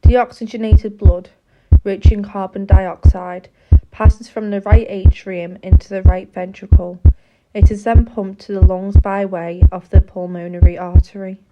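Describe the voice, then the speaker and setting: A woman narrates an educational film from a script, with a medium-pitched voice. Her delivery is formal and measured, explaining things step by step. The recording sounds slightly muffled and lo-fi. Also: flat delivery, loud music behind the voice.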